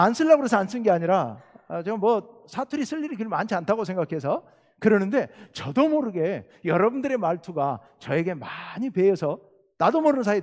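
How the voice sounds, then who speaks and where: A middle-aged pastor with a Jeolla Korean accent preaches to his congregation, his fairly high voice swinging widely as he asks questions and presses his points. The sound carries the long reverb of a church sanctuary. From South Jeolla, Korea. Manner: earnest preaching, rhetorical questions, rising emphasis. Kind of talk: sermon.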